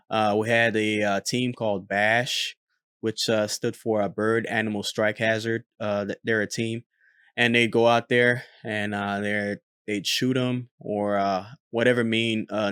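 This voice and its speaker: A man's voice: on the low side, clean tone, no echo, studio quality. A man, a former military air traffic controller, hosting casual commentary on a recorded radio exchange, talking easily with many fillers. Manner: relaxed, frequent filler "uh".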